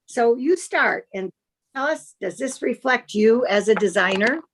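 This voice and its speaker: An older woman moderating a design panel discussion over a video call, measured, gracious and formal in her delivery. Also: on the high side, expressive, a little hiss or hum.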